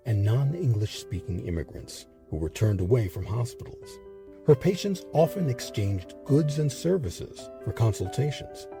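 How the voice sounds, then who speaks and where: A man narrating a scripted history documentary in a measured, expressive storytelling manner. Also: on the low side.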